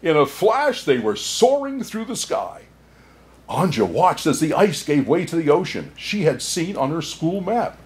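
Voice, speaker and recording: Kindly old Santa played by a man for storybook reading; slow pace, very animated pitch. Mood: gentle storytelling. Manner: unhurried, chuckling asides, expressive storytelling.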